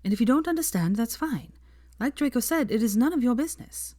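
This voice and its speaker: A woman's voice: a little high, expressive, fairly quick, mellow, no echo, clean studio sound, voice pushed up. A woman voicing an assertive, bookish young witch who speaks emphatically in a storybook reading. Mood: cool, firm.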